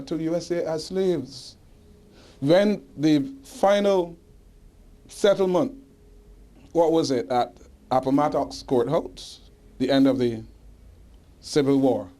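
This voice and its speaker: A man with a Barbadian accent giving a history lecture to an audience, emphatic and rhetorical, pausing to search for dates and tagging points with "huh?". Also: a little high, fairly even intonation, dark tone. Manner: rhetorical, adds "huh?" tag questions. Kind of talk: lecture answering audience questions. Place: indoor lecture hall.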